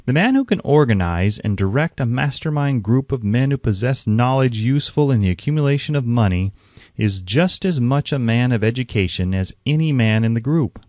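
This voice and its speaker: A man reading scripted audiobook narration in a formal, measured and emphatic way. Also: mid-range voice, a little mellow, no echo.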